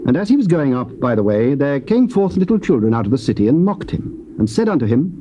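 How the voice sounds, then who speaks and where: A man's voice: medium pitch, sing-song, fast talker. A man with a British accent narrating dramatized scripture in formal, solemn archaic English. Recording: dull, noisy old cassette tape.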